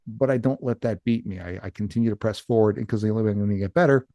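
A man talking earnestly and reflectively in a casual chat, with a few halting restarts. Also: lowish voice, mellow, dry recording.

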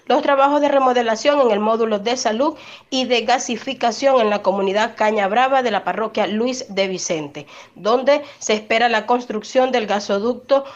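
Casting: female field reporter, higher voice, steady formal read, slightly dull audio. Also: normal speed. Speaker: news reporter. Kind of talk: scripted news report.

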